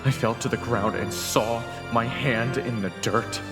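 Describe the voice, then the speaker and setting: An actor playing a compassionate young fantasy hero in an audio drama, speaking earnestly and emotively. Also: male voice, medium pitch, steady tone, a little slow, music in the background, lightly acted. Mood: grim, urgent. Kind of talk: audio drama acting and narration.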